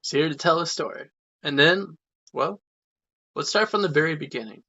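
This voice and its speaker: A man's voice: on the high side, moderate pace, sharp tone, dull audio. A man hosting a podcast interview, chatty and eager as he introduces a guest and asks questions. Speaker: podcast host.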